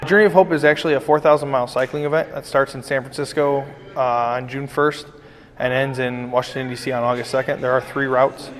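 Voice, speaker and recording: A man's voice: medium pitch, fairly even intonation, fairly quick. A young man giving unscripted interview answers as a member of a charity team. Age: young adult. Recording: reverberant hall.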